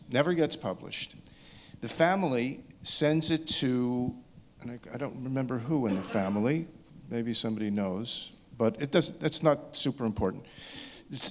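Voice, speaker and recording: Man, medium pitch, documentary maker on a discussion panel. Halting delivery, circles back over his own words. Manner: halting, repeats words, thinking aloud. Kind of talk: post-screening question and answer talk.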